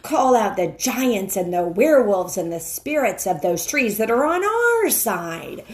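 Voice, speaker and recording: An imperious storybook witch queen, acted out by a woman reading aloud, cruel and scornful. She draws words out slowly and swings her pitch with commanding emphasis. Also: sing-song. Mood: commanding, fierce.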